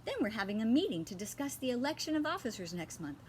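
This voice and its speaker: A woman's voice: a little high, big pitch swings, quick pace, a little hiss or hum. A woman reading aloud the part of an eager, scatterbrained mother in a children's story, bubbly and emphatic. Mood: insistent, excited.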